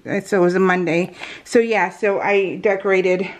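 An American woman chatting casually as she narrates a craft project, rambling and giggly, with slightly unusual soft R sounds. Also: on the low side, fairly even intonation, moderate pace. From California, US. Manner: giggly, rambling, self-interrupting. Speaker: craft vlogger. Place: indoors at home, at a craft table.

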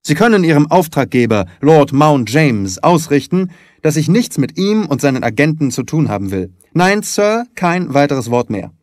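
A man's voice: on the high side, big pitch swings, normal speed, darkish tone, dry recording, studio quality, voice pushed up. A man voicing a stern, indignant doctor in an audiobook, brusque and haughty in manner. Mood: furious. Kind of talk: audiobook character acting.

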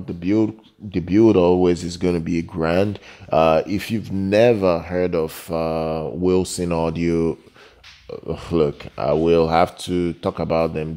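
Man, very deep pitch, dark relaxed tone, unscripted reviewer voice-over, halting, repetitive, emphatic on key words. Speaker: product reviewer, channel host.

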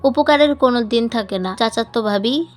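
A woman's voice: a little high, moderate pace, soft background music, close to an everyday voice. A woman voicing a cheeky, playful grown man in an audiobook, teasing with mock-serious, pompous reasoning. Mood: smug teasing. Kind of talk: audiobook character dialogue.

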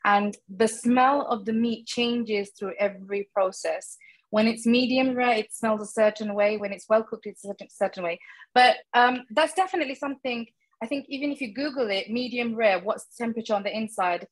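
High-voiced woman giving a cooking demonstration. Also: moderate pace, poor audio. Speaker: guest cook demonstrating a recipe. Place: home kitchen, indoors.

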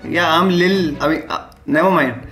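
A man's voice: fairly high pitch, moderate pace, a bit bright, soft background music. A young man, teasing and playfully chatting with strangers on webcam from his bedroom. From India. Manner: playful, teasing, bit shy. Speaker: video host. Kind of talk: casual video chat with strangers. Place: bedroom, indoors.

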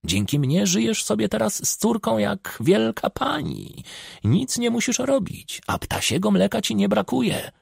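A man voicing an old village witch, bossy and sly, with a screechy, croaking voice and a commanding, self-assured manner. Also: sits high, huge pitch swings, a little slow, no room sound, studio quality, pitched above the performer's own voice, exaggerated voice. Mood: brusque, commanding.

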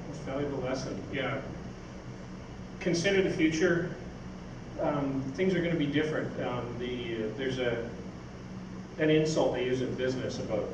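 An older man giving an informal, rambling, anecdotal talk on stage, answering questions from an audience. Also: medium pitch. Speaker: speaker, science fiction writer. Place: on stage in an event hall. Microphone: far camera microphone. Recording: echoey hall from far off, noisy.

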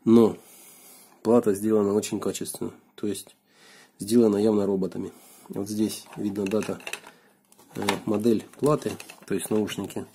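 Hoarse, low, gravelly-voiced man. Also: little pitch movement, dark tone, a little hiss or hum. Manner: unhurried, thinking aloud with fillers. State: hoarse from a cold. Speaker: video blogger. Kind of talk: casual hands-on gadget teardown. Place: indoors at a wooden desk.

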